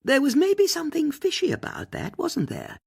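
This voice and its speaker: A narrator doing a high, swooping older woman sleuth. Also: huge pitch swings, normal speed, gravelly, a little mellow, heavily acted. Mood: musing. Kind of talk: audiobook character dialogue.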